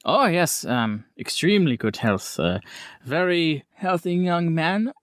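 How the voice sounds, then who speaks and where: A man's voice: a little high, animated, unhurried, clean tone, no echo, voice pushed up. Man playing a polite 1920s doctor, close and dry. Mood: candid, measured. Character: courteous 1920s town doctor, frank and obliging.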